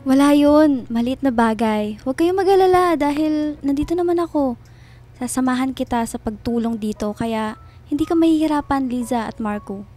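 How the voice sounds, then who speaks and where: An actress playing a chirpy, over-helpful family friend in a radio drama. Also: female voice, high-pitched, moderate pace, dark tone, close to an everyday voice. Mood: sweet, helpful. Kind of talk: Filipino radio drama acting. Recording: music behind the voice.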